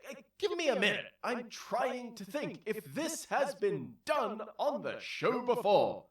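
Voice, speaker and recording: Casting: male actor as showy, cruelly cheery game show host, high voice, echoing room. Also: sing-song, distant mic, full character voice. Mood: flustered, irritated. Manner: theatrical, drawn-out announcer delivery. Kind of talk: game show parody audio drama acting. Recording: roomy echo, off the mic.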